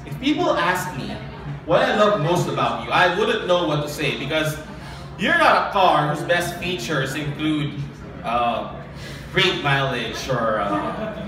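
A young man performing spoken word poetry live, earnest and deliberate, with dramatic pauses. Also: on the high side. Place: small live open-mic event. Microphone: handheld and stand microphone, heard from a distant camera. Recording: distant, very echoey, noisy live recording.

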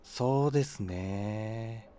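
A man's voice: lowish voice, very slow, mellow, a little hiss or hum. An actor playing a vague, socially awkward man on a date in a comedy audio drama, hesitant and noncommittal.

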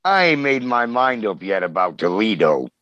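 A man's voice: on the low side, very animated, moderate pace, fairly bright tone, no room sound, dull audio. A man playing a surly, stubborn suspect, defiant and slangy, in a comic radio crime drama. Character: surly, stubborn male wise-guy suspect.

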